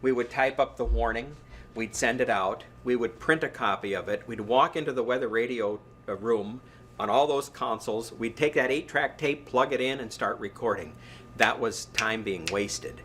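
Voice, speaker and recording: A man's voice: mid-range voice, moderate pace, fairly bright tone, slight background noise. A man speaking as a weather service meteorologist, explaining things informally and emphatically, with key phrases repeated. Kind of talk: explanatory interview talk.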